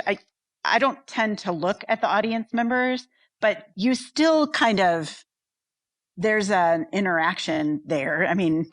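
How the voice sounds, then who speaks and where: A woman talks casually, as if among colleagues on a panel, with a modest, self-deprecating manner. Her voice sits slightly low for a woman, and the sound is dry with very little room. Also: dry recording. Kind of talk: casual conversation.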